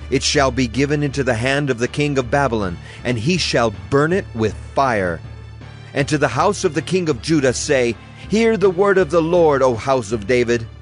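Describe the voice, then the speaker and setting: Male actor as solemn prophet of doom, mid-pitched, declamatory, scripture audio drama with music bed. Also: animated, moderate pace, lightly acted. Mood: stern warning. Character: stern male Hebrew prophet pronouncing God's wrathful judgment.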